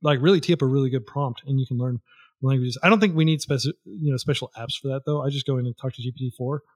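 A man's voice: medium pitch, quick pace, husky. A man talking casually as a podcast guest, rambling with lots of fillers and restarts.

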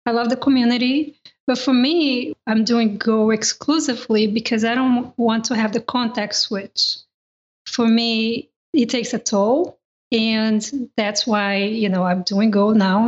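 A woman's voice: a little high, fairly even intonation, unhurried. Woman whose first language is Portuguese. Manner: earnest, emphatic, tells personal preferences. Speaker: podcast co-host. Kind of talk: casual panel chat. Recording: dull lo-fi remote audio.

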